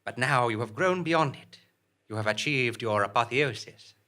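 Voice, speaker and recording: A man's voice: medium pitch, moderate pace, bright, forceful tone, lightly acted. An actor playing a courteous, formal, portentous male nemesis who rules a false reality in a theatrical audio drama. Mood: courteous, grand.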